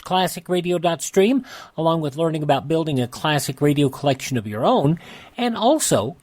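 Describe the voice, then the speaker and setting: A man's voice: on the high side, darkish tone. Casting: male radio host, casual and folksy, chatting straight to listeners.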